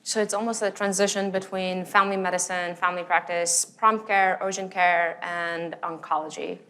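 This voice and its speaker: A woman's voice: fairly low pitch, flat delivery, moderate pace, sharp tone. A woman moderating a panel discussion among clinicians, polite and thanking each speaker.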